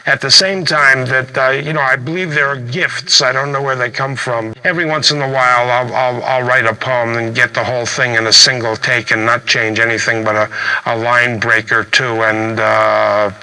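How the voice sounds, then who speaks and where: A man talking reflectively as a poet being interviewed, halting and thoughtful, with phrases that trail and resume. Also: medium pitch, fairly even intonation, sharp tone, poor audio.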